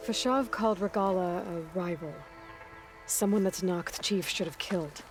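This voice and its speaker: A woman's voice: lowish voice, moderate pace, faint music behind, natural voice. A young woman playing a determined, guarded hunter heroine in a video game. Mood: guarded, focused.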